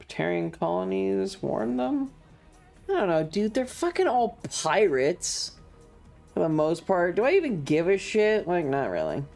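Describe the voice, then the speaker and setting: Creaky voice, lively swooping pitch, mid register, casual sweary livestream chat, music underneath. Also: measured pace. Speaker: livestream host. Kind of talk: casual gaming stream chat.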